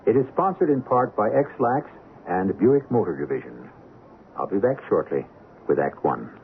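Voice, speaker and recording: A man hosting a radio mystery show, narrating from a script in a measured, formal, theatrical manner. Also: fairly low pitch, very animated, vocal fry, background noise. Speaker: host and narrator. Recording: muffled old radio broadcast recording. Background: music.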